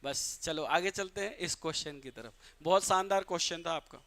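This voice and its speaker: Bright-voiced Bihari man teaching grammar. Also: fairly high pitch. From Bihar, India. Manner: addresses students as "beta", asks and answers his own questions; reads English examples out slowly and deliberately. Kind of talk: live online class in Hindi.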